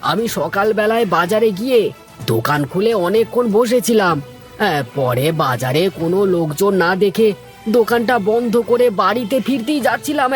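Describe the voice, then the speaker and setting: A man voicing a nervous, henpecked shopkeeper husband in a comic cartoon, stammering and pleading. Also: sits high.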